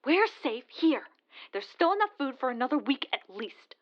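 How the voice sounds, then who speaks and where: A woman's voice: high voice, sing-song, moderate pace, muffled recording. A woman playing a pious, stubborn curate, preachy and insistent, in an audio drama. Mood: defiant. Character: pious, stubborn female curate sheltering from invaders.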